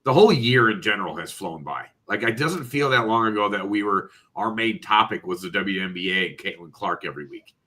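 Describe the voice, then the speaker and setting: A man's voice: lowish voice, lively intonation, fairly quick, hardly any pauses, bright, forceful tone. A congested man with a slightly nasal voice co-hosting a sports podcast, thoughtful and full of "uh" and "um". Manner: thoughtful, lots of "uh" and "um" while he works out his points. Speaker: podcast co-host. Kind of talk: casual sports podcast chat.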